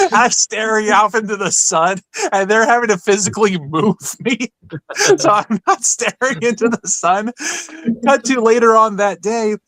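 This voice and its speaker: A man's voice: high-pitched, a little slow, fairly bright tone, dry recording. A man co-hosting a podcast, telling stories in a casual, comic way. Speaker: podcast co-host.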